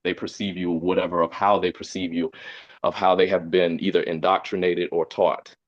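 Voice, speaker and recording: A man's voice: low-pitched, level tone, normal speed, no room sound. A man speaking earnestly in a community discussion over a video call, explaining at length with ums and "you know". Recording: dull muffled video call audio.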